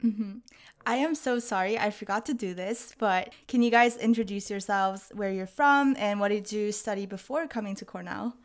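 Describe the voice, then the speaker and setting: Clear-voiced young woman interviewer. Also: mid-range voice, moderate pace. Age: 20s. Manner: chatty, laughs often. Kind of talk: casual conversation.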